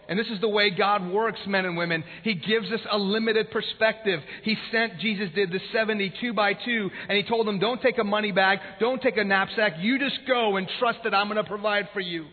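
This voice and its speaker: Impassioned high-voiced male preacher. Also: normal speed. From Iran. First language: Farsi. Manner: impassioned preaching, swinging from loud to hushed. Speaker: pastor. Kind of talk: sermon. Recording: phone-like sound with long hall reverb.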